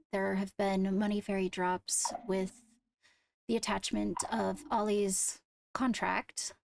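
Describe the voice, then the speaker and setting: A woman playing a composed court leader in a Minecraft roleplay, speaking formally and haltingly as she gives a briefing. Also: medium pitch, a little mellow. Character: measured female court leader briefing on attacks. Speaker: roleplayer leading a court meeting.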